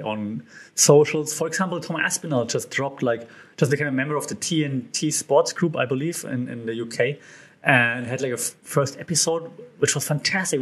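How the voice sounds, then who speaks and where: A man hosting a solo podcast, talking in a casual, chatty way with lots of fillers and restarts, his voice a bit hoarse. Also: medium pitch, fairly bright tone. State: slightly hoarse. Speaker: podcast host.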